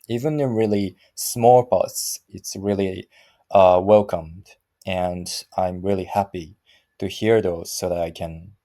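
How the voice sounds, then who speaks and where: A man in his thirties speaks English with a Japanese accent, hesitant and often stopping to search for the right word. His voice sits a little low and sounds mellow and soft. He talks very slowly, in a casual solo monologue. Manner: halting, searching for words, frequent restarts. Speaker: vlogger practicing English.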